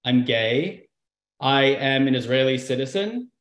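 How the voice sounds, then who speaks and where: A man's voice: mid-range voice, lively intonation, unhurried, a bit bright. Man presenting in an echoey room. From United States. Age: 30s. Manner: conversational, with frequent fillers. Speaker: speaker giving a talk. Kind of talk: presentation with slides.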